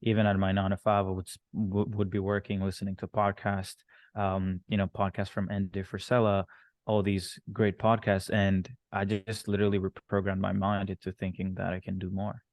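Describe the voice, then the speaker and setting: A man with a fairly low voice, recorded dry with little room sound. He grew up in Rwanda and then Canada, and he talks casually as an interview guest, often circling back to rephrase his points. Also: monotone, normal speed, a little mellow, no echo. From born in Rwanda, moved to Canada at thirteen. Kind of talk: casual interview, telling his own story.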